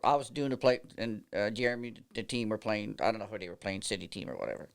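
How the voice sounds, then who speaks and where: A man's voice: on the low side, fast talker, smooth. A man, an umpire telling stories in a casual podcast chat. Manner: animated storyteller, laughs through anecdotes, many fillers. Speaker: baseball umpire, podcast guest.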